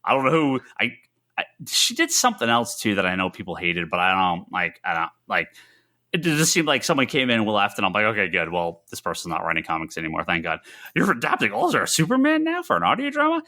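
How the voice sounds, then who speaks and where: Male podcast co-host, bright forceful voice, very animated pitch, stumbling and repetitive, casual chat. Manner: halting, repeats words, mimics own reactions in mock quotes. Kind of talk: casual comics discussion.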